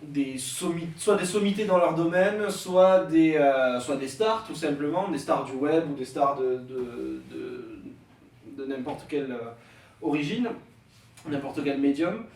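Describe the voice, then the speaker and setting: A man's voice: fairly high pitch, unhurried, a little mellow. A young man hosting a casual podcast, hesitant, with many fillers and restarts, and stuffy from a head cold. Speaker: podcast host. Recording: echoey room, mic at a distance, faint noise.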